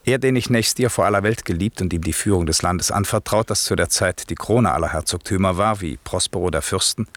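A man plays a grave, commanding magician and father in a classic radio drama. He declaims solemnly in a deep voice, recorded close and very dry. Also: brisk, few pauses, dry recording, natural voice. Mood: grave, bitter. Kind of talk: classic German radio play acting.

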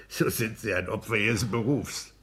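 Forceful, smug petty-bourgeois clerk. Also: male voice, unhurried, breathy edge. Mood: mocking, confident. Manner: exacting, domineering, booming bursts of mockery. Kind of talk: 1960s German radio play acting.

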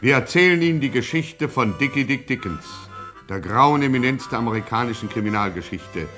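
A man's voice: medium pitch, expressive, a little fast, over music. A man narrating a radio crime satire in a scripted mock-epic style, pompous and grandiose.

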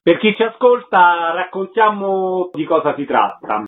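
A man's voice: high voice, big pitch swings, unhurried. A man hosting a radio show, presenting and interviewing guests in a scripted style. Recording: thin phone-like radio sound.

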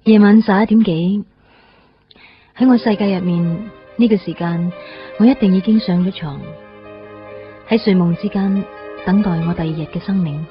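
An actress playing a tender, wistful young woman in a radio drama, speaking softly and sincerely with a Hong Kong Cantonese accent. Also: mid-range voice, moderate pace, pauses often, lightly acted. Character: tender young woman, heart-transplant survivor, newly in love. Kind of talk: radio drama monologue and dialogue. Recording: slightly muffled, faint music underneath.

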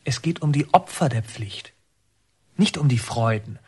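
A young man, serious and stubborn, in a radio drama. His voice is medium in pitch with a rough, husky edge, and his delivery is earnest and naturalistic. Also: animated, darkish tone. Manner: serious, terse, halting. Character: earnest, stubborn young male inmate of twenty-one. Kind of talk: naturalistic German radio drama acting and narration.

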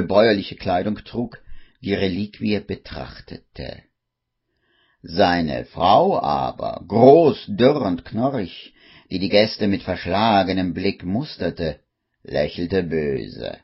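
A man narrating a German radio drama from the 1950s as a measured, scripted storyteller. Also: sits low, slightly rough. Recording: muffled vintage recording, faint noise.

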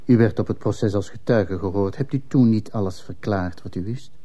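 Radio drama: stern male commissioner, played by a man, very dark lowish voice, formal and probing. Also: lightly acted. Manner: formal, measured interrogation. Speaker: actor in a radio play. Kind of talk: Dutch-language radio drama acting.

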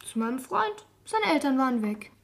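A cheeky young schoolgirl in a radio crime play. Her voice is high and very lively in melody, with a sullen, blunt, evasive way of answering. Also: moderate pace, gravelly, heavily acted. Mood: defiant, cheeky. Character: sullen, cheeky twelve-year-old schoolgirl, defiant. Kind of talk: German radio crime drama acting.

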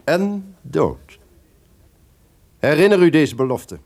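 An actor playing a cunning, distrustful lord who feigns friendship, formal and insinuating in a gothic radio drama. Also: male voice, a little high, big pitch swings, takes it slowly, creaky, a little mellow, exaggerated voice. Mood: falsely friendly.

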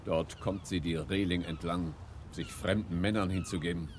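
An actor in a radio play playing a courtly, smooth-talking ship's captain, flattering and poetic as he woos and tells tales. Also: low-pitched, moderate pace, slight background noise, close to an everyday voice. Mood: admiring. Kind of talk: radio play acting, lyrical storytelling.

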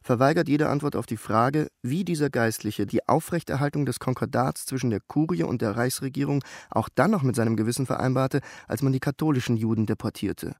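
A man's voice: mid-range voice, a little fast, hardly any pauses, a little mellow, slight background noise. A man reading script text aloud as a reader.

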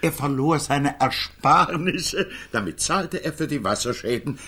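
A gloating townsman sneers in a radio play. His husky voice sits high and bright, moving in lively, derisive swings at an unhurried pace. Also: heavily acted. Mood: gloating derision. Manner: derisive, chuckling through words.

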